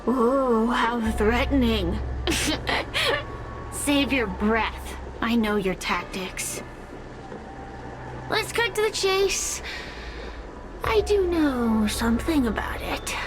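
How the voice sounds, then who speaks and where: A woman's voice: on the high side, very animated, extremely slow, pauses often, background noise, exaggerated voice. A woman playing a sly, sadistic spirit in a video game, with a taunting, theatrical, cackling manner. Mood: mocking. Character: sly, sadistic, mocking spirit who relishes others' suffering.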